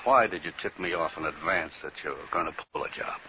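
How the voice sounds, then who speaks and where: An actor playing a sober police captain in a 1950s radio drama. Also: male voice, extremely low pitch, fast. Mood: sober, puzzled. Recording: tinny, hissy old radio recording.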